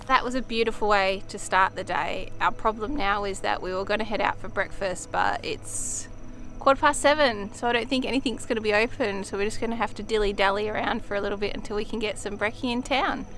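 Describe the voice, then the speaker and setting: A woman's voice: medium pitch, fairly quick, a bit bright. A woman with an Australian accent, narrating a travel vlog outdoors in a cheerful, easygoing way. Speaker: family travel vlogger. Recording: music playing underneath.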